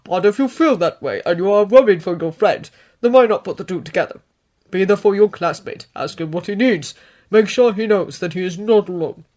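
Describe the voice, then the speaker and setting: A woman voicing a big, gentle grown male hero who comforts his young student in a fatherly way. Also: mid-range voice, a little fast, lightly acted.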